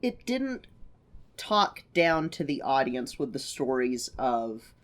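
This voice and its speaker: A woman co-hosting a podcast, chatting casually about a film in a halting, thinking-aloud way. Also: a bass voice, very animated. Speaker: podcast co-host.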